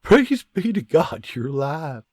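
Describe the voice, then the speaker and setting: Man playing hearty farm dad, teasing, raised pitch, dry close sound. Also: sing-song, measured pace, darkish tone, no room sound. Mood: emotional. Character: joking Scottish farmer father, warm and teasing.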